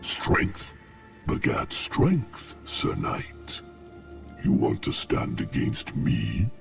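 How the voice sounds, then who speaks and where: A man's voice: deep voice, huge pitch swings, extremely slow, exaggerated voice. A man voicing a taunting, menacing dragon villain in a video game, with a growling, theatrical, mocking delivery. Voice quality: gravelly, breathy growl. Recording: music behind the voice. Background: clear music.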